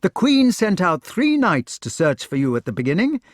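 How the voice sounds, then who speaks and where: A man voicing an eager, warm-hearted young knight who talks loudly and excitedly. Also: measured pace, husky, dry recording, studio quality, heavily acted. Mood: overjoyed. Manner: loud, excited, pitched high.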